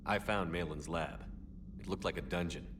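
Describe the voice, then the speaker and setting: An actor playing an earnest military commander in a video game, speaking in a direct, level, sincere way. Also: male voice, sits low, moderate pace, close to an everyday voice. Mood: earnest, curious. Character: steady, earnest male military commander, soldier hero. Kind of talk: video game character acting. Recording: noisy game ambience behind voice.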